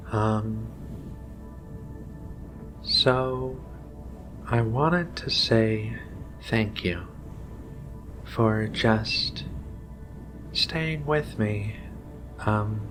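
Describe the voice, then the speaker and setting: A man voicing a gentle, affectionate female alien cat researcher in a soft, halting ASMR roleplay. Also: lowish voice. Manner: soft, slow, tender, halting.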